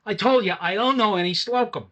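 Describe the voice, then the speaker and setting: A man's voice: high-pitched, a little fast, sharp tone, dull audio. An actor in his forties playing a cagey, evasive male crook in a radio drama. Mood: defiant. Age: 40s. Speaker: suspect.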